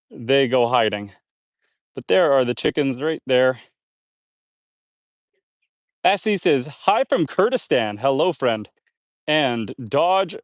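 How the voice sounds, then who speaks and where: A man's voice: medium pitch, no room sound, studio quality. Chatty, slightly congested homesteader man. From Canada. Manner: relaxed, chatty, reads viewer comments aloud. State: a little congested. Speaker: homesteader live-streaming. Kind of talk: casual live-stream chat while building. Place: outdoors on a homestead.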